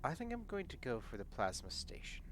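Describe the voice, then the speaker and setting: A man's voice: mid-range voice, huge pitch swings, brisk, fairly bright tone. A man talking casually as a player in a streamed tabletop role-playing game.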